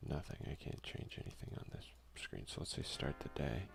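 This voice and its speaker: A young man speaks softly in a low voice full of heavy vocal fry, often dropping into a whisper. He is doing an ASMR let's play, reading and commenting close to the mic, with faint noise behind him. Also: very animated, fairly quick. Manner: soft-spoken, often slipping into whispers. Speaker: gaming video creator.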